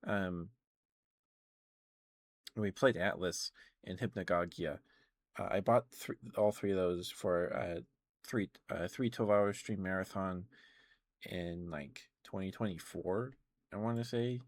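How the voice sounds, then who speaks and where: A low-voiced man rambles casually on an ASMR livestream. He talks very slowly and haltingly, musing his way through each thought like a streamer chatting to viewers. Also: no echo. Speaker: live streamer, video game streamer.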